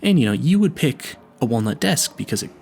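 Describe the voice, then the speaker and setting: Man acting a nervous, rambling vampire hunter, very animated. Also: mid-range voice, brisk, gravelly, husky, exaggerated voice. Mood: excited, proud. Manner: stammering, rambling, easily sidetracked, eagerly earnest. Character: nervous, clueless, chatty young male vampire hunter. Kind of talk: audio roleplay spoken to the listener.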